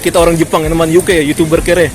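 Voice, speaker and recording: A man's voice: on the high side, little pitch movement, rapid-fire, slight background noise. A man from Jakarta with a Batak accent, chatting casually to his viewers while walking through a city street. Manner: chatty, keeps addressing viewers as friends. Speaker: vlogger. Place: busy city street outdoors. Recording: street crowd and music behind. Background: crowd and music.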